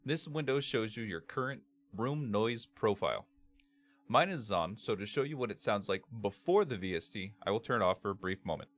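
A man with a bass-heavy voice narrating a software tutorial in a measured, step-by-step way as its presenter. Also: expressive. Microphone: computer microphone, screen-capture voice-over.

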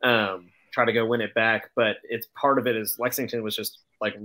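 A man's voice: lowish voice, little pitch movement, normal speed, bright, forceful tone. A man giving casual sports analysis as a panelist on a video call. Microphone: video call microphone.

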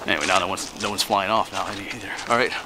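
A middle-aged man from Pennsylvania casually narrating as he hikes a winter forest trail, relaxed and slightly out of breath. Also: low-pitched. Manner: relaxed, chatty, pauses for breath. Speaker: hiking vlogger. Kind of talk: casual narration while hiking. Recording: outdoor noise on camera mic.

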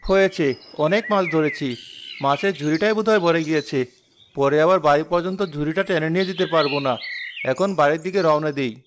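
Earnest young village lad, played by a man, high male voice, quick cartoon delivery. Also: a little hiss or hum, natural voice. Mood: pleased. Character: cheerful, kind young village fisher boy. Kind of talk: cartoon character acting.

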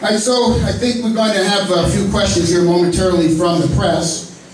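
A man with a fairly high voice announces names and jokes with the panel like a friendly emcee. He is caught from a distance in a cavernous, echoing hall, with steady background noise. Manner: genial, joking, lists names with pauses. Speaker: press conference host. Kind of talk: announcing and moderating a press conference. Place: large echoey indoor hall. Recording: echoey hall recorded from far off, noisy.

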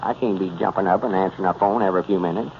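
A man's voice: low voice, rapid-fire, gravelly, slight background noise. A man playing a boastful, scheming rural storekeeper with a self-important country drawl, in a 1930s radio comedy. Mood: pompous. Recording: muffled old radio transcription.

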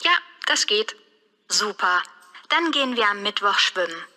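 An actress playing a woman planning a swimming trip with a friend, clearly enunciated for a language test. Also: medium pitch, animated, unhurried, bright, forceful tone, in a large hall. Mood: friendly.

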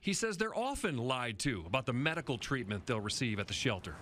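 A male reporter narrating a news investigation in a measured, serious voice-over. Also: mid-range voice, big pitch swings, fairly bright tone, a little hiss or hum.

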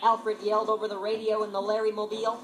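A woman reading a scripted children's story as narrator, expressive and dramatic. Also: mid-range voice, fast talker. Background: music.

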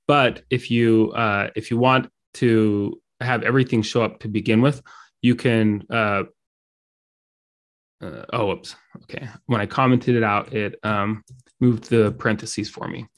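A man with a dry throat from a cold, explaining code during a live screen-share talk, with many pauses and restarts. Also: mid-range voice. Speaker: meetup speaker.

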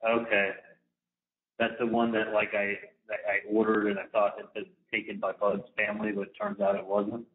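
A man chatting casually on a phone call in a loose, halting way. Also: fairly low pitch, completely flat delivery. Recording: tinny, radio-like jail phone line.

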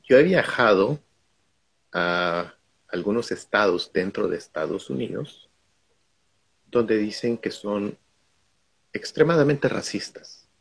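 A man's voice: lowish voice, lively intonation, slow pace, gravelly, long pauses, slightly rough. A middle-aged man from Guatemala, a guest on a video call, telling stories from his own life in a reflective way. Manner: reflective, storytelling. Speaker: guest speaker.

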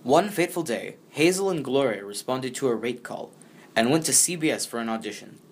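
A man's voice: medium pitch, expressive, a little fast, slight background noise. A man narrating a biography from a script.

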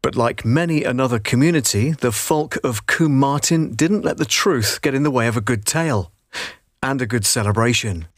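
A man's voice: mid-range voice, moderate pace, no echo. Middle-aged male narrator, dry booth sound. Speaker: voiceover artist. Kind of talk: scripted documentary-style narration, demo takes. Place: voiceover booth. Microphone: close studio microphone.

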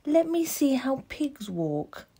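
A woman's voice: sits high, sing-song, takes it slowly, mellow, pitched above the performer's own voice, exaggerated voice. A woman voicing a curious, playful little farm boy in a storybook, with simple, earnest phrasing. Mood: eager, curious.

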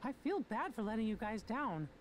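An actor voicing an earnest male cartoon mouse king in a game, with a squeaky voice. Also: sing-song, normal speed, a little hiss or hum, close to an everyday voice. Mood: regretful. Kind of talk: animated game character acting.

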